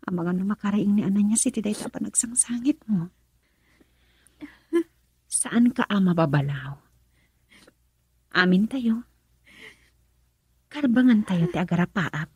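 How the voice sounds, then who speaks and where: A woman's voice: on the low side, darkish tone, slightly rough, no room sound, heavily acted. A woman playing a loyal, frank young friend who consoles, in radio drama style with a Filipino accent.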